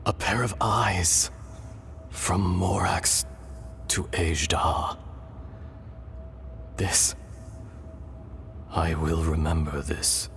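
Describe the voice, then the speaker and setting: A man voicing the calm, weary, benevolent spirit of an ancient dragon in a video game, speaking in a solemn, measured, poetic way. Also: deep voice, creaky, full character voice. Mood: wistful, weary. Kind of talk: dramatic video game voice acting. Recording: loud game music under the voice.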